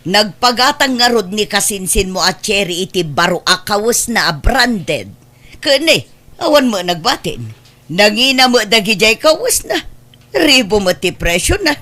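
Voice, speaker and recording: A woman as a nagging middle-aged aunt in an Ilocano radio drama. Her voice sits at a middle pitch, with an Ilocano accent and a money-minded, fault-finding manner. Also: very animated, unhurried, pushed and bright, heavily acted. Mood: complaining. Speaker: voice actor.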